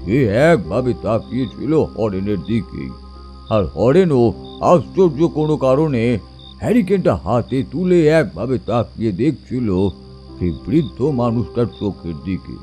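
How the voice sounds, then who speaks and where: Slow, expressive male storyteller. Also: mid-range voice, soft background music. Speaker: narrator and storyteller. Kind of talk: dramatic horror story narration.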